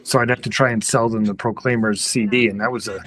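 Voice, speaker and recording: A man's voice: on the low side, fairly even intonation, quick pace. A middle-aged man co-hosting a podcast in casual, conversational chat, reminiscing. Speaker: podcast co-host.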